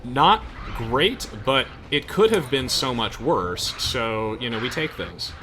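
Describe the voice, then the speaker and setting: A man's voice: medium pitch, expressive, slow, bright, forceful tone, a little hiss or hum. A young man giving casual, chatty gameplay commentary to friends, explaining things with hesitant trailing asides. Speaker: gamer hosting a let's play.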